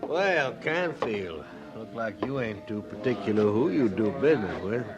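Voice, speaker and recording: An actor playing a blustering, menacing Old West sheriff with confrontational, folksy speech in a radio western. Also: male voice, lowish voice, big pitch swings, measured pace, muffled recording, music in the background. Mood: accusing. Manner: confrontational, folksy frontier grammar. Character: menacing, crooked, blustering male frontier sheriff. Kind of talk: 1950s radio western acting. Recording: vintage radio drama recording.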